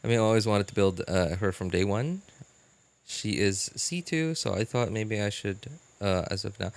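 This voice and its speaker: A man casually chatting as a live-stream host, answering viewers in a halting way and repeating his phrases. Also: on the low side, no room sound. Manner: halting, repeats phrases, animated incredulous reactions.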